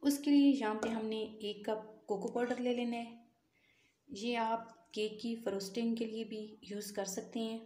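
Home cooking host, woman, mellow voice, patient step-by-step instruction, echoey kitchen. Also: fairly high pitch, steady tone, moderate pace, a little hiss or hum. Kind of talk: home cooking tutorial voice-over.

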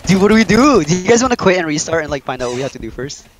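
Panicky, hoarse-voiced young gamer. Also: male voice, on the high side, sing-song, racing, background noise. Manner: panicky, screaming and laughing. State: voice strained from screaming. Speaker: co-op game player. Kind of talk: casual co-op gaming chat.

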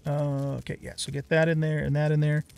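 A man's voice: fairly high pitch, little pitch movement, a little fast, gravelly, a little mellow. A middle-aged man giving casual let's play commentary, unhurriedly thinking aloud step by step.

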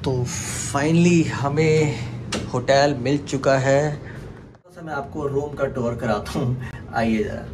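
A man's voice: medium pitch, slow pace. A young man chatting casually to his viewers as a travel vlogger. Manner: chatty, addresses viewers directly. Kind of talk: casual vlog narration. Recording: noisy road-trip background.

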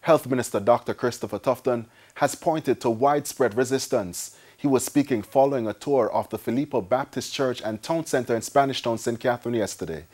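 Jamaican guy anchoring the news, scripted studio read, medium pitch. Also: brisk, few pauses, darkish tone.

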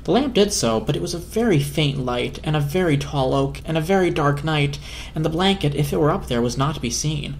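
A man's voice: a little high, lively intonation, a little fast, vocal fry, a little hiss or hum. A man narrating a children's story as a dramatic reading.

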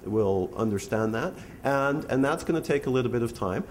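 A middle-aged man giving analytical remarks on a panel in a measured, deliberate way. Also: medium pitch, animated. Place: indoor conference panel stage. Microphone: gooseneck table microphone. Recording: faintly noisy conference room recording.

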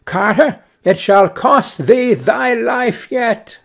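A woman's voice: a little high, vocal fry, a bit bright, voice pushed up, full character voice. A man doing a vengeful little sparrow. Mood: menacing. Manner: slow, menacing drawn-out threats. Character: vengeful female sparrow, small and relentless.

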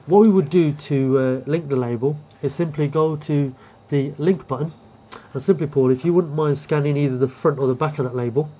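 A man in his thirties, a shop owner, calmly explaining his store during an on-site interview, with many fillers. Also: a little high, fairly quick, few pauses, slightly rough. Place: shop and community hall, indoors.

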